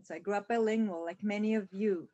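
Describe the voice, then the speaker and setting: A woman's voice: medium pitch, steady tone, fast, mellow, no room sound, dull audio. A woman professor from Switzerland introducing herself in a friendly, welcoming way over a video call. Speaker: Spanish and linguistics professor. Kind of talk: faculty self-introduction. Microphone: video call webcam.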